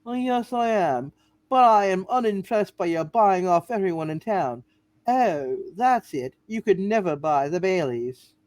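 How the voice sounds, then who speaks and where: A principled, defiant female official in a radio play, performed by a woman. Her medium-pitched voice is folksy and indignant, hammed up a little. The sound is dry, with no room to it. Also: lively intonation, a little slow, a little mellow, no room sound, lightly acted. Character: principled, defiant female state bank examiner.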